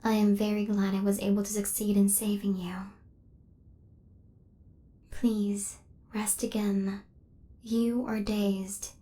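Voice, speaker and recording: A soft, breathy female killer robot, acted by a woman in an ASMR roleplay. The tone is dark and mellow, with very little rise and fall. The phrasing is formal and measured like a machine, yet tenderly possessive and devoted. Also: medium pitch, close to an everyday voice. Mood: solemn, devoted, then gentle, reassuring. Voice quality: soft, breathy half-whisper. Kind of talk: intimate ASMR roleplay acting.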